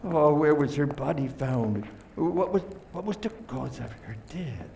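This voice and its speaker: A man acting in a radio play as a self-pitying philanderer, gravelly, halting and melodramatic. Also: fairly high pitch, animated, warm tone, a little hiss or hum. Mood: sheepish, pleading.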